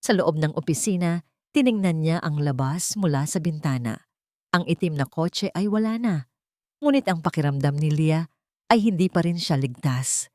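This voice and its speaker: A woman's voice: low voice, animated, normal speed, darkish tone, dry recording, studio quality. A woman reading a scripted dramatic story as its narrator.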